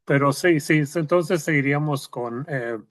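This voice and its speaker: A man's voice: a little high, a little slow, a bit bright. Hesitant male webinar moderator. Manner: informal, hesitant with fillers. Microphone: video call.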